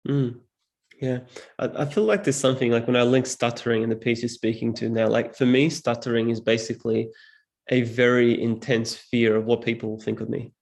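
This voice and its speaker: A man's voice: mid-range voice, level tone, brisk, vocal fry, darkish tone. A young man from Serbia, whose first language is Serbian, speaking as a guest in an interview in a thoughtful, earnest way full of "like" fillers. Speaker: guest, public speaking teacher. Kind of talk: reflective interview talk.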